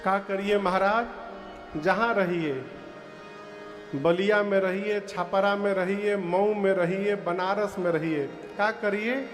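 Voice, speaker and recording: High-voiced Bhojpuri preacher, slow and sung. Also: male voice, steady tone, soft background music. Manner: alternates speech and slow devotional singing, playful folksy asides. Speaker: kathavachak preacher. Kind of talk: devotional discourse with sung bhajan.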